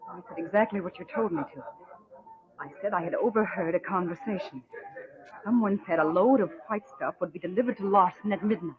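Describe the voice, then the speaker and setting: An actress playing a loyal, composed young secretary in a golden age radio drama. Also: female voice, on the low side, a little fast, crowd noise, lightly acted. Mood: hushed, composed. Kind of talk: 1940s radio drama acting. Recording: thin, narrow old radio broadcast.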